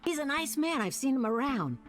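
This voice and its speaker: A woman's voice: high-pitched, very animated, a little fast, soft background music. A woman playing a chatty, lonely, scatterbrained older lady who rambles wistfully in a visual novel. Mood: musing. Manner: rambling, folksy, wistful storytelling. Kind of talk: naturalistic visual novel voice acting.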